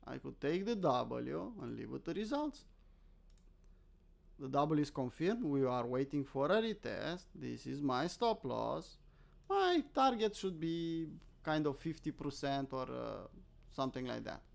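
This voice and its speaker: A man's voice: fairly high pitch, very animated, a little slow, gravelly. A man from Romania with a Romanian accent, hosting casual live trading commentary in an informal, self-deprecating way, often asking and answering his own questions. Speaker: host, crypto trader.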